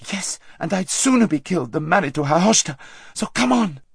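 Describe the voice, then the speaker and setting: A man's voice: sits high, expressive, fast talker, creaky, bright, forceful tone, breathy edge, dry recording, studio quality, pitched above the performer's own voice, exaggerated voice. A man voicing a determined, impatient young noble girl in an audiobook, firm and commanding. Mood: stern, exasperated. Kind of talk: audiobook character acting.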